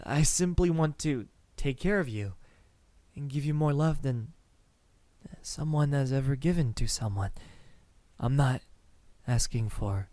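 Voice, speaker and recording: Man acting a slow, soft-spoken lovestruck vampire. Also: mid-range voice, expressive, no echo, lightly acted. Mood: adoring, pleading. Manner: soft-spoken, halting, tender, slipping into whispers. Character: lonely centuries-old male vampire, gentle lovestruck suitor. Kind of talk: ASMR roleplay monologue.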